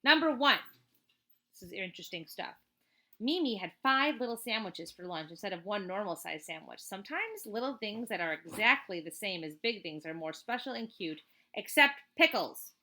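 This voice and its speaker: Bright-voiced woman reading a children's book. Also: medium pitch, moderate pace. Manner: expressive, chatty, emphatic on list items. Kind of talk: read-aloud storytelling with casual asides.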